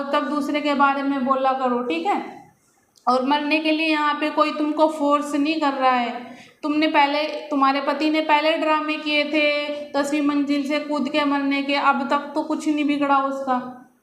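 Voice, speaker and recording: A woman's voice: sits high, fairly bright tone, roomy sound. A woman giving unscripted opinion commentary in a scolding, argumentative way, often with rhetorical questions. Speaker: commentator on reality show gossip. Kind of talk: unscripted opinion commentary addressed to viewers and contestants.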